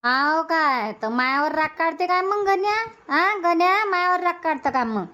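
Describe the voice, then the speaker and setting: A woman's voice: very high voice, animated, normal speed, sharp tone, heavily acted. A woman voicing a homely older village grandmother in a cartoon comedy, speaking rural Varhadi Marathi. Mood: puzzled, coaxing.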